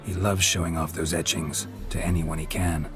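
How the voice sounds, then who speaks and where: An American man playing a stoic, weary monster hunter in a video game, terse and dryly sardonic in a low gravelly murmur. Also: normal speed, loud music behind the voice, close to an everyday voice. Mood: wry, deadpan. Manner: terse, deadpan.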